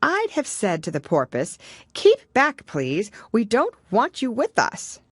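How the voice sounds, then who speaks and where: Storybook young girl, adult female reader: high, lilting, curious, courteous, a touch timid and puzzled, unhurried. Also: wildly swooping pitch, slightly rough, no echo, studio quality, exaggerated voice. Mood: earnest, timid. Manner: wondering, slightly timid. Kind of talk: children's storybook character reading.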